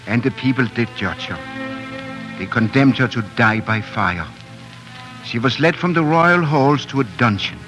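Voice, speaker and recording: A man's voice: mid-range voice, expressive, measured pace, rough recording. A man narrating a story theatrically and suspensefully in 1940s radio drama style. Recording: old 1940s radio recording with music.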